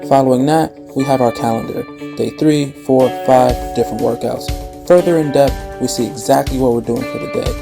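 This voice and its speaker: A man who works as a personal trainer, delivering a scripted promotional pitch straight to the listener. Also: brisk, gravelly. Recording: music behind the voice.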